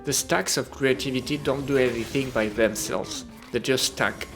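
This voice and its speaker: A man's voice: mid-range voice, normal speed, fairly bright tone. A man reading a scripted video game review as a voice-over. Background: music.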